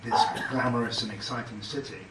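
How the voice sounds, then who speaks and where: Man, British accent, panelist, halting speech, echoey room, distant mic. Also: medium pitch, steady tone, heavy background noise. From Britain. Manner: hesitant, many fillers and restarts. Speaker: panelist, entrepreneur. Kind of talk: panel discussion remarks.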